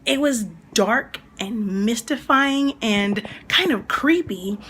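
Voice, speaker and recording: Young woman w/ a slightly raspy voice, getting over a cold, chatty excited anime reviewer, kinda high voice. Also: slow pace. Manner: chatty and enthusiastic, rambling asides. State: recovering from a cold. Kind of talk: casual video review.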